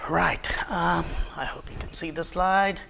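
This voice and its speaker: Hindi-native guy giving a conference talk, slightly high voice, lots of ums n restarts, some vocal fry. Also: fairly even intonation, sharp tone, a little hiss or hum. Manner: halting, many ums and self-corrections, light self-deprecating humor. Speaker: conference presenter. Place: conference room.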